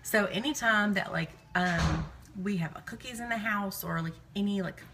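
A woman's voice: mid-range voice, normal speed, background noise. A woman in her thirties chatting casually and digressively to live viewers as she records in her home kitchen. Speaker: live-streaming vlogger and meal-prep host.

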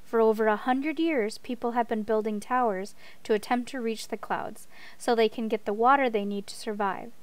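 A woman's voice: on the high side, moderate pace, hardly any pauses, dry recording. A woman reading game text and notes aloud for a gameplay video.

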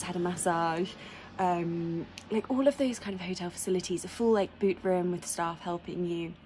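Young woman, medium pitch, gushing vlog chat, phone mic, faint room hum. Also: darkish tone. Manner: gushing, enthusiastic, many likes and ums. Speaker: lifestyle vlogger. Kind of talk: casual chatty vlog to camera. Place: indoors in a ski chalet.

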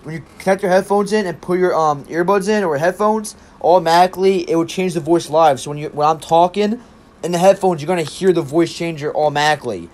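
A young man giving a casual app tutorial in a rambling, halting way, often repeating himself. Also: on the high side, darkish tone. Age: young adult. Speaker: tech tutorial presenter.

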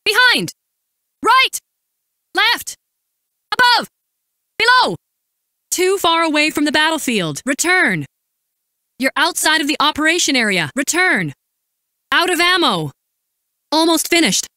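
An actress playing a composed, dutiful woman military supply officer in a video game, giving crisp, formal, commanding briefings and callouts. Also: high-pitched, big pitch swings, a little slow, pauses often, sharp tone, no echo, clean studio sound, full character voice. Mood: urgent, then businesslike, alert.

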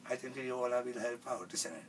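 A man in his forties from Sri Lanka with a Sri Lankan accent, a fashion designer introducing his sewing studio in halting English full of fillers. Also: mid-range voice, recorded from a distance, background noise. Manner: halting non-native English with many fillers. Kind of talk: self-introduction video. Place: cluttered sewing studio, indoors.

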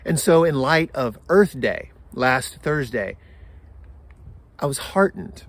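Slow, deliberate American pastor preaching. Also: male voice, on the high side. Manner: measured, deliberate phrasing with pauses. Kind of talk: sermon for an online service. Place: outdoors in a garden.

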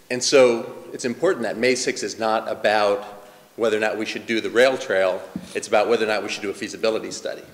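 A man makes a persuasive case to a town meeting on behalf of a local group. His voice sits a little low. A long reverb from the hall hangs behind his earnest delivery. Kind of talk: public comment at a town meeting. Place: large hall, standing in the audience.

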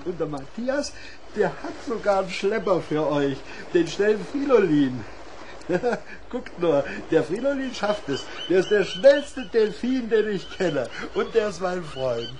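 A man's voice: sits high, animated, measured pace, a little mellow, a little hiss or hum. A man playing a lonely, jovial old lighthouse keeper in a children's radio play, with hearty, folksy exclamations. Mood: jovial, scheming.

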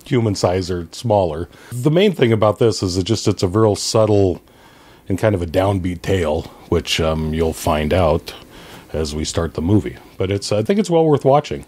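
Low-voiced middle-aged man chatting haltingly. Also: animated, a little mellow, husky. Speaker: podcast guest. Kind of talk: casual chat.

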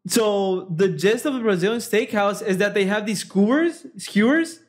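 A young man co-hosting a casual podcast, excitable and joking, laughing often, speaking into a handheld mic in a small room. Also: high voice, moderate pace. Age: young adult. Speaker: podcast co-host. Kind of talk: casual chat. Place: small room with sound panels.

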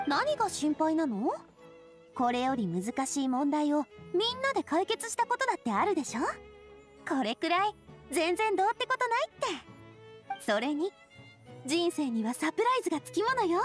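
A woman's voice: high voice, big pitch swings, normal speed, faint music behind. A woman playing a confident, cheerful young leader in an anime game, breezy and self-assured. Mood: upbeat, confident. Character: Navia, confident cheerful young woman, boss made fairytale king. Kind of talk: Japanese anime game voice acting.

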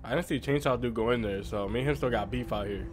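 A young man streaming a horror game from his room, reacting loudly with constant swearing and trash talk. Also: mid-range voice, fast talker, soft background music. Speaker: gamer streamer. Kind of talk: live gameplay reactions. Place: small room at home, indoors.

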